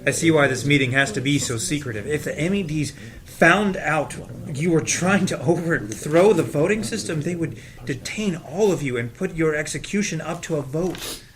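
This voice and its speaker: A man's voice: on the high side, expressive, normal speed, lightly acted. Man acting a wary, casual newcomer. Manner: questioning, casual. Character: wary, curious newcomer at a secret meeting. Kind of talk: audio drama table read.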